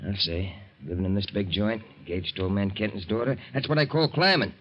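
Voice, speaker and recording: An actor playing a threatening gangster in a 1940s radio drama, smug and slangy. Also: male voice, lowish voice, brisk, lightly acted. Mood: menacing. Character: menacing gangster with a gun. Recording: muffled 1940s radio recording.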